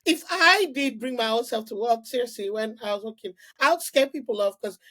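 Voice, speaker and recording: Animated Nigerian-born woman, higher voice. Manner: animated storyteller, quick restarts and "like" fillers. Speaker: podcast guest, engineer. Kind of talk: casual interview conversation.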